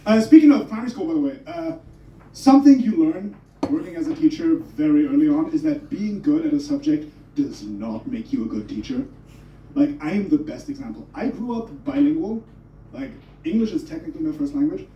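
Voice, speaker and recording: High, animated man doing stand-up. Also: fairly quick, reverberant, far from the mic, muffled recording, a little hiss or hum. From Germany. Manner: energetic, swearing, self-mocking. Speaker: stand-up comedian. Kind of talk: stand-up comedy set. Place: comedy club stage.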